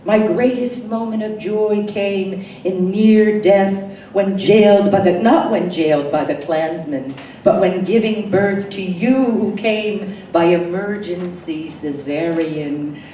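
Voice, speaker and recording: An older American woman from New Jersey reads poetry dramatically, with solemn, deliberate phrasing and pauses. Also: medium pitch, dark tone, roomy sound, recorded from a distance, highs cut off, a little hiss or hum. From Newark, New Jersey, USA. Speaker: poet.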